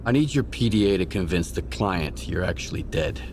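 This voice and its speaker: An actor playing a terse, guarded, businesslike lone mercenary in a video game. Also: male voice, low-pitched, expressive, moderate pace, darkish tone. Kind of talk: video game character dialogue. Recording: roomy echo, faint noise.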